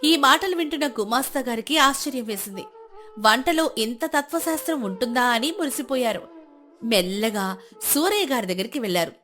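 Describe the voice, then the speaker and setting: High-voiced woman narrating over music. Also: lively intonation, brisk, fairly bright tone. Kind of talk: scripted moral story narration.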